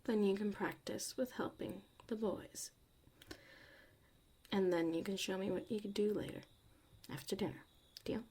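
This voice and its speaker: A woman's voice: medium pitch, steady tone, moderate pace, long pauses, dark tone, husky. A woman acting a tough but caring witch mother in an ASMR roleplay, muttering and often dropping to a whisper. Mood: firm, fond.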